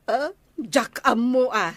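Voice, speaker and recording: A woman's voice: fairly high pitch, expressive, slow, no echo. A woman with an Ilocano Filipino accent playing a doubtful, questioning villager in a radio comedy drama. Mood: skeptical.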